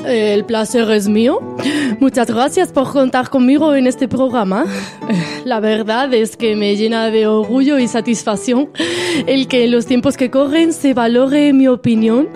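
A woman's voice: a little high, measured pace, music in the background, voice pushed up, lightly acted. A woman playing a courteous, bashful eighteenth-century French woman as a guest in a radio sketch. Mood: flattered, bashful. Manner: polite, bashful.

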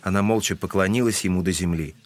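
A man's voice: sits low, fast talker. A man reading scripted literary narration as the narrator of an audio drama.

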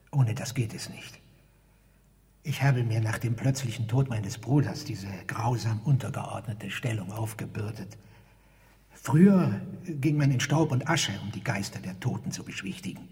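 An actor playing a fearful, self-abasing car park attendant who pleads in formal, stilted phrases, in a German radio drama. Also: male voice, on the low side, moderate pace, darkish tone, lightly acted. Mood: pleading, self-abasing. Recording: long hall reverb.

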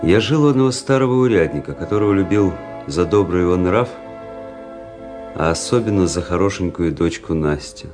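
An actor playing a weary, cynical young army officer who narrates a radio drama in a measured, introspective way. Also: male voice, deep voice, expressive. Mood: reflective, measured. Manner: introspective, world-weary, measured literary delivery. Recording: music under the voice.

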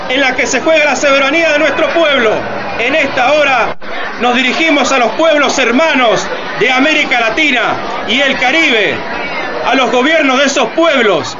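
Impassioned high-voiced president addressing a crowd. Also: male voice, normal speed. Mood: rousing, solemn. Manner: declamatory, emphatic, rhetorical. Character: impassioned male president rallying his nation in a defiant speech. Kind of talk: radio theatre political oratory. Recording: dull lo-fi, loud crowd and noise.

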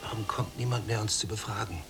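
An actor playing a resentful, long-confined prisoner in a radio play, brooding and deliberate, often hushed and gravelly. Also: male voice, fairly low pitch, fairly even intonation, noisy background. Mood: bitter. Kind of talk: literary radio play acting, monologue and dialogue.

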